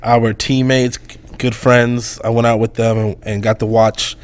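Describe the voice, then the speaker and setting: A man hosting a casual sports podcast, talking through a hoarse voice in a loose, rambling way with lots of fillers. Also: medium pitch, flat delivery, measured pace. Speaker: podcast host.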